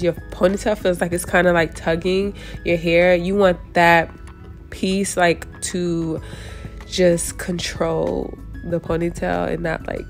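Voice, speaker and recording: A woman's voice: fairly low pitch, gravelly. A young woman with a stuffy, congested voice, casually walking viewers through a hair tutorial while she works. She chats loosely and often stops to correct herself. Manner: chatty, talks while working, often restarts and corrects herself. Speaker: hair vlogger.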